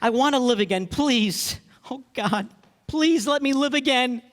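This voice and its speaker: A man's voice: extremely high pitch, unhurried, a little hiss or hum. An actor in a radio play as an emotional family man, stammering and impassioned. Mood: desperate pleading. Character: desperate, emotional young family man, pleading then overjoyed. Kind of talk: live radio play acting. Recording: stage hall with long reverb.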